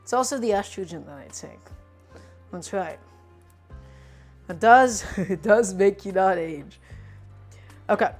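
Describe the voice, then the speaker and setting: A woman's voice: medium pitch, unhurried, long pauses, soft background music. A woman in her twenties hosting a casual cooking livestream, teasing her viewers and explaining each step while she chops. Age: 20s. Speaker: cooking streamer.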